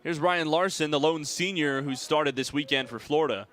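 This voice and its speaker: A young American man calling baseball play-by-play in a measured, articulate way. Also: fairly high pitch, fairly bright tone. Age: young adult. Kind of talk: sports play-by-play commentary.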